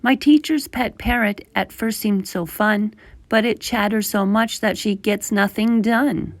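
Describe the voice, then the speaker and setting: A woman reading a rhyming children's story aloud in an animated, emphatic way as a storytime host. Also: mid-range voice, measured pace, no echo. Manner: animated, emphatic rhymed delivery.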